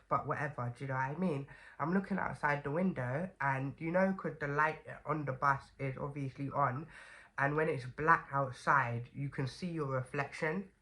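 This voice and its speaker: A woman's voice: deep voice, fairly bright tone. A young woman with a stuffy, congested voice from a cold, chatting casually to camera as a vlogger with lots of fillers and "okay?" asides.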